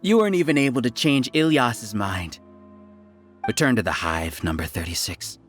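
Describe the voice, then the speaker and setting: An actor playing an arrogant, menacing hive-mind overlord in an anime-style video game, lecturing in a pompous, deliberate manner. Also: male voice, mid-range voice, lightly acted. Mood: cold, scornful. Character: arrogant scholar villain, overmind of a hive collective, menacing. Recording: faint music behind the voice.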